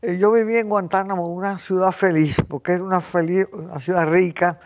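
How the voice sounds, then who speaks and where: A Cuban woman in her eighties hosting a conversation, talking in an impassioned, rambling way and often clearing her throat. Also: fairly low pitch, fairly even intonation, brisk, darkish tone. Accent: Cuban Spanish. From Cuba. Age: 80s. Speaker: host. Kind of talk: casual conversation.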